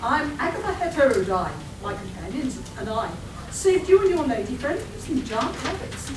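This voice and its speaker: A woman's voice: sits high, very animated, moderate pace. A woman playing a plucky, determined young adventuress in a live radio play, bold and emphatic. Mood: caring, bright. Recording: echoey, noisy hall recorded from far off.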